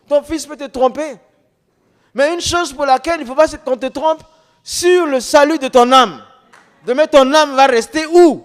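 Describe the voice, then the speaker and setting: High-voiced man preaching in a reverberant hall. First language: non-French mother tongue. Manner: emphatic, repetitive, rhetorical questions. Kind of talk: testimony preaching to an audience.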